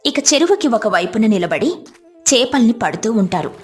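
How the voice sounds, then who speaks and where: Higher-pitched woman narrating a story. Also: very animated, moderate pace.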